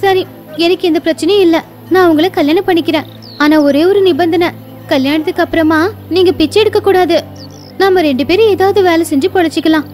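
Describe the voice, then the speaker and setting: A woman voicing an earnest, devoted young bride and sister in an animated bedtime story, speaking in a sincere and emphatic way. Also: very high-pitched, fairly quick, soft background music, voice pushed up. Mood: earnest, firm.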